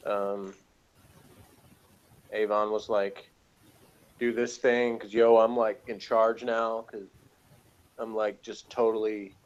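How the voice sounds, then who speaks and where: A man's voice: on the low side, little pitch movement, slow, long pauses, highs cut off. A man chatting casually as a guest reviewer, slangy and joking, often hesitant in his phrasing.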